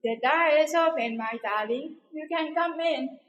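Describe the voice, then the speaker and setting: Woman acting a slow, ailing old storybook grandmother. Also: sits high, reverberant, big-room sound, lo-fi sound. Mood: weak, welcoming. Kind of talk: storybook character voice.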